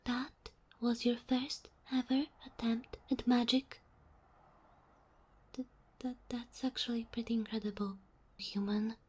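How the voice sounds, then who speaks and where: A woman plays a sweet young kitsune girl in an ASMR roleplay, high-pitched and unhurried. She speaks softly and breathily, often dropping close to a whisper. Her manner is naive and curious, full of hesitant wonder. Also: level tone, breathy edge. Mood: soft, impressed. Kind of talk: ASMR roleplay, soft acted monologue.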